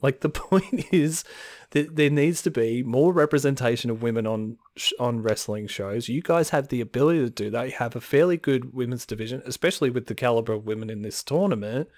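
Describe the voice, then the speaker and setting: Man ranting, a little hoarse, dry sound. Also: medium pitch, brisk, a little mellow, dry recording. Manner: frustrated ranting with sighs. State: slightly hoarse. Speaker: podcast co-host. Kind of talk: casual chat.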